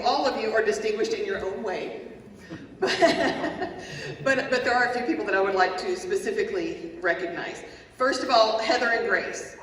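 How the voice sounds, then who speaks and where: A woman's voice: medium pitch, a bit bright. A woman with a Texas accent emceeing a ceremony, introducing guests with friendly joking asides and small stumbles. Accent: Texan. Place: large indoor hall. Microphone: podium mic. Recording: echoey hall from far off, crowd noise.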